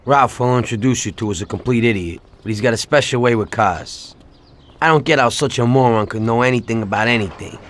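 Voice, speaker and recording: An actor playing a chatty, cocky working-class 1930s American gangster in a video game. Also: male voice, mid-range voice, brisk, fairly bright tone, natural voice. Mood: matter-of-fact, casual.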